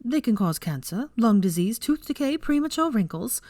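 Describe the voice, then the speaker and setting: Storybook role: woman reader as sharp young woman, raised pitch, scolding and emphatic, dry close sound. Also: very animated, moderate pace, dry recording. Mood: matter-of-fact, defensive. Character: sharp, bossy, easily exasperated young woman.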